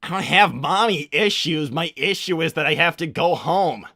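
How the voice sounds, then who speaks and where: A man's voice: on the high side, moderate pace, bright, forceful tone, no room sound, studio quality. A man voicing a flustered, insecure young cartoon hedgehog hero, stammering and hesitant, in an improvised roleplay. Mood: defensive, embarrassed. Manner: stammering, hesitant, self-deprecating. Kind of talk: improvised in-character livestream roleplay.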